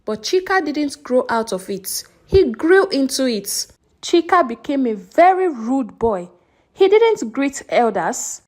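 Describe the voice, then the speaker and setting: High, animated woman storyteller. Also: takes it slowly. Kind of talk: scripted folktale narration.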